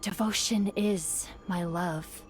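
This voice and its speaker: A woman voicing an ancient, devoted djinn in a video game, eloquent and measured, with a seductive, enigmatic manner. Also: fairly low pitch, soft background music. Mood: reverent, tender. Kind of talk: dramatic video game voice acting.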